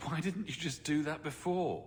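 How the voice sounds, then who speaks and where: A man's voice: fairly high pitch, normal speed, slight background noise, lightly acted. A man voicing a brash, sarcastic and impatient adventurer hero in a video game, with a blunt way of talking. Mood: skeptical.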